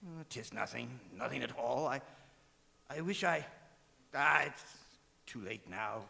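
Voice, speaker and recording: An actor playing a fearful, regretful old miser in a theatrical radio drama, stammering and exclaiming, with music under him in a reverberant hall. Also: male voice, mid-range voice, unhurried, creaky, sharp tone, heavily acted. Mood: sad, regretful. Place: performing arts center stage.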